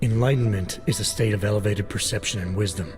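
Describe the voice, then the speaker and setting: An actor playing a gruff, stoic video game agent, terse and deadpan with a low, gravelly voice. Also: male voice, quick pace, close to an everyday voice. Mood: wry, firm. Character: gruff, stoic augmented male agent, calm and wry. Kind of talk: cinematic video game acting. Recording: dramatic music behind the voice.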